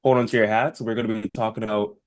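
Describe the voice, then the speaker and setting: A man's voice: lowish voice, flat delivery, fast talker. A man co-hosting a podcast, speaking in casual, conversational chat. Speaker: podcast co-host.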